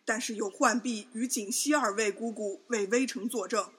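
A woman's voice: on the high side, normal speed, slightly rough. A woman playing an earnest male court physician in a Chinese period audio drama, formal and urgent. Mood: urgent pleading.

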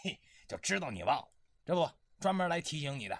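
Brief: male actor as sneering, greedy intelligence deputy, rough bright voice, animated, dry recording, period radio drama. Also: a little high, fast, no room sound. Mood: skeptical. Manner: blunt, mocking.

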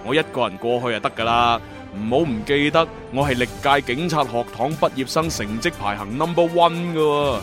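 A man playing a cocky, eager young time-travel agent with animated, exclamatory delivery in a radio drama. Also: medium pitch, fairly quick, few pauses, close to an everyday voice. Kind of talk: Cantonese sci-fi radio drama dialogue. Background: music.

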